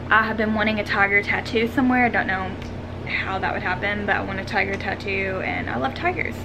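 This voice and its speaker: A woman's voice: mid-range voice, fairly quick, heavy background noise. A woman, chatting casually and self-deprecatingly as she answers questions in a vlog at home. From Arkansas, United States. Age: 20s. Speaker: vlogger. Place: room at home.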